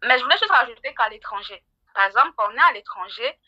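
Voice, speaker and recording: A middle-aged woman speaks as an expert guest, explaining in a conversational way. Her voice is bright and forceful, and the recording is dry and a little dull. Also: on the high side, expressive, fast talker, no echo. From Cameroon. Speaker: psychologist guest.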